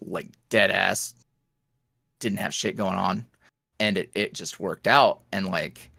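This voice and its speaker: A man guest on a podcast, an ASMR roleplay creator, talking candidly in a rambling, filler-heavy way that swings from lively bursts to low mutters. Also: slow, vocal fry, husky, dry recording, studio quality. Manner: swings between animated highs and low mutters. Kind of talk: casual interview chat.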